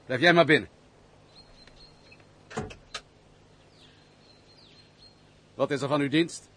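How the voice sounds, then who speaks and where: A wounded, misanthropic nobleman played by a male actor in an old radio play. He speaks in formal, sorrowful phrases with a gruff edge, over faint background noise and outdoor sounds. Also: fairly high pitch, fast, fairly bright tone, natural voice. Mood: gruff, curt. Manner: formal, theatrical, sorrowful storytelling. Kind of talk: old radio drama acting.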